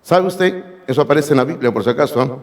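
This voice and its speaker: Hoarse male pastor, emphatic sermon delivery to the congregation, reverberant church hall. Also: a little high, animated, fast talker. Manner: emphatic, addresses the congregation directly. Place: church sanctuary.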